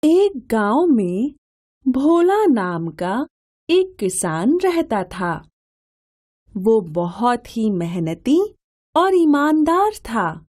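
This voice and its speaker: A woman's voice: a little high, sing-song, drawn-out words, darkish tone. A woman narrating a scripted children's story.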